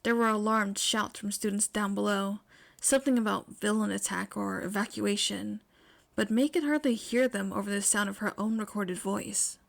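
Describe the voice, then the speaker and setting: A woman's voice: mid-range voice, fairly even intonation, moderate pace, creaky. A woman reading scripted fiction aloud as the narrator of an amateur audio story. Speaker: podfic narrator.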